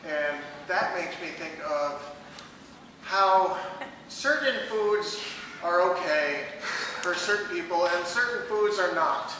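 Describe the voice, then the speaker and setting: A man with a high voice muses slowly through an improv monologue, listing things as he thinks. He is heard from far off in a big echoey hall. There is noise behind him. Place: small theater stage.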